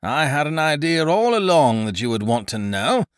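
A man voicing a loud, hearty, scheming young gentleman adventurer who blusters expansively. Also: fairly high pitch, very animated, normal speed, smooth, dry recording, clean studio sound, voice pushed up.

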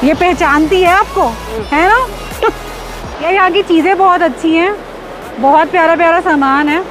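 A woman's voice: extremely high pitch, moderate pace. A woman chatting casually and playfully in an informal, teasing way, as a travel vlogger. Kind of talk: casual, playful vlog chat. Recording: outdoor vlog with music underneath.

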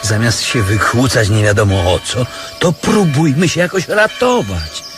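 An actor playing a wily, pompous church beggar in a radio comedy, declamatory, wheedling and mock-pious. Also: male voice, mid-range voice, very animated, measured pace, music in the background. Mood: pompous, conciliatory. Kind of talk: radio comedy play acting.

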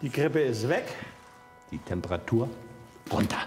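Older male actor for a children's radio play: easygoing retired vet, deep voice, very varied intonation, music underneath. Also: animated, unhurried, lightly acted. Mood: cheerful. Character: kindly easygoing retired country horse vet. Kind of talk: children's audio drama acting.

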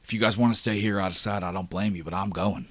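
A man doing a steady, protective rig worker. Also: fairly low pitch, fast, dry recording, voice pushed down. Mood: resolute.

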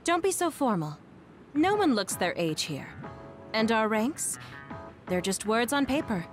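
A woman's voice: fairly high pitch, big pitch swings, unhurried. A woman playing a genial, easygoing military squad commander in an anime game, breezy yet authoritative. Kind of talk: English anime-game voice acting. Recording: music behind the voice.

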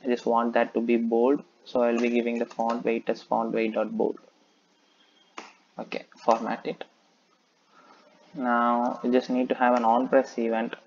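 A man's voice: medium pitch, monotone, unhurried, long pauses, lo-fi sound, a little hiss or hum. A man talking through a coding tutorial, explaining step by step and thinking aloud with frequent "okay". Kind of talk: screen-recorded coding tutorial.

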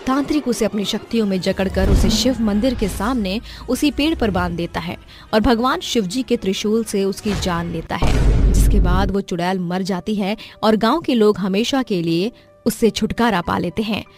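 A woman's voice: medium pitch, normal speed, music in the background. A woman reading scripted narration as a storyteller.

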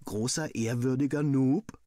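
A man's voice: mid-range voice, measured pace, mellow, no echo, clean studio sound, pitched above the performer's own voice, close to an everyday voice. A man voicing a cocky, teasing schoolboy rival in a story, mocking and boastful.

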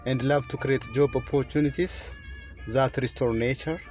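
A middle-aged man from Ethiopia with an Ethiopian accent gives a formal, deliberate prepared speech to camera inside a car. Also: mid-range voice, steady tone, darkish tone, soft background music. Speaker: presenter, children's author.

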